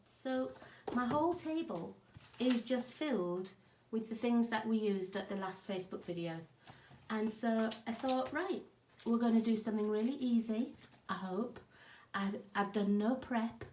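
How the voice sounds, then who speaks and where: A woman with a croaky, hoarse voice hosts a craft live stream, chatting and greeting viewers by name. Her voice sits fairly high for a woman, and the home craft room around her sounds echoey. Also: a little mellow. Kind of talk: casual live-stream chat.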